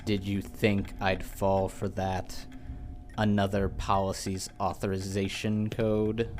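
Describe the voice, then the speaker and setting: A cold, gloating villain in a radio play, speaking very low and slow. He sounds set back from the mic, with faint noise around him. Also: monotone, a little mellow.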